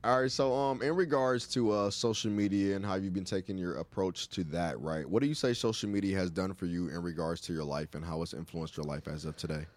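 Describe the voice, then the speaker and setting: Low-voiced male radio interviewer. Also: a little fast, few pauses, a little mellow, no room sound. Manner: slangy, interjects short affirmations. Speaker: radio show host, interviewer. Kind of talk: casual radio interview chat.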